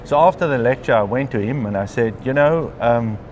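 A man in his fifties with a South African accent, telling his story in a relaxed conversational interview, recorded on a lapel mic in a large glass atrium. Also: medium pitch, fairly quick, a little hiss or hum. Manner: chatty, frequent ums and clears throat. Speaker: interviewee, mycologist.

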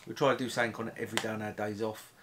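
A man's voice: on the low side, level tone, rapid-fire, a crowd in the background. A middle-aged man chatting casually on a bed, rambling and cheeky, laughing at his own jokes. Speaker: vlog co-host. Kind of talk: casual chat. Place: bedroom, sitting on a bed.

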